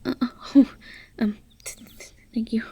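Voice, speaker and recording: A woman acts out a shy young freshman girl in a fantasy audio play. She speaks slowly and softly, stammering nervously, with a gentle, kind-hearted temper. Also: fairly high pitch, steady tone, creaky, slightly rough, dry recording, exaggerated voice. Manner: timid, heavily stammering. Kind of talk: amateur fantasy audio roleplay acting.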